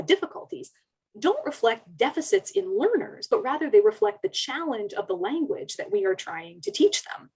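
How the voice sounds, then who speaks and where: A woman's voice: on the high side, darkish tone, slightly rough, low-quality recording. A woman presenting research in an explanatory webinar lecture, carefully laying out ideas in plain terms.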